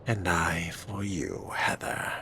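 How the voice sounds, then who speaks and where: A man role-playing his vampire character through a video game, speaking in a measured, theatrical, brooding manner. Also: low-pitched, expressive, vocal fry, bright, forceful tone, slight background noise.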